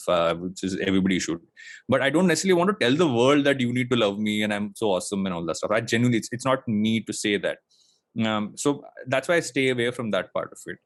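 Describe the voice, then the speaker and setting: A man's voice: medium pitch, no room sound. A man in his late thirties from India, a podcast guest talking in a reflective, rambling way with lots of fillers and restarts. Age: late 30s. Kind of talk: casual interview chat.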